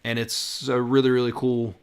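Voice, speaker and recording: A man hosting a podcast, chatting in a relaxed way and reading out listener questions. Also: medium pitch, normal speed, clear, resonant tone. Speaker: podcast host. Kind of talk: casual chat.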